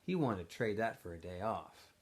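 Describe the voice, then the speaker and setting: A man reading a storybook aloud to students in an animated, expressive way, with casual asides to his listeners. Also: on the low side, normal speed.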